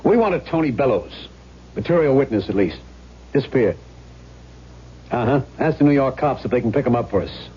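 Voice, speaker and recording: An actor playing an impatient, no-nonsense police lieutenant questioning witnesses in terse, insistent phrases in a 1970s radio drama. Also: male voice, medium pitch, lively intonation, normal speed, pauses often, lightly acted. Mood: businesslike, exasperated. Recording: hissy, dull vintage radio recording.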